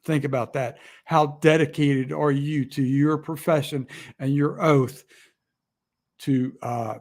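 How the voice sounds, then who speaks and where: A man talking to camera in an emotional, rambling vlog, halting often with fillers and restarts. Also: on the high side, fairly even intonation, a little mellow. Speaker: outdoor vlogger. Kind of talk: emotional casual monologue.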